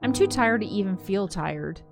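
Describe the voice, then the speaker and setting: Girl reading a visual novel out loud on stream, chatty n giggly, game music pretty loud behind her. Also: medium pitch, animated, moderate pace, creaky. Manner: giggly, informal asides. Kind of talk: casual reading aloud with chatty co-host banter. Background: game soundtrack music.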